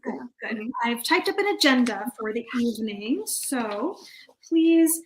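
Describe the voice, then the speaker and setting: A woman's voice: fairly high pitch, expressive, unhurried, slight background noise, low-quality recording. A woman acting a prim, officious committee chairwoman with a formal, fussy manner, in an amateur play reading. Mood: businesslike.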